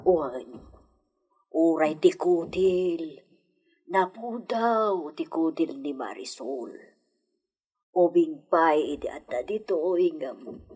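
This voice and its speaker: A woman's voice: sits low, takes it slowly, long pauses, dark tone, husky, full character voice. A woman with an Ilocano accent playing a sentimental, wistful older lady of a hacienda in a radio drama, with birdsong behind her. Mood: admiring.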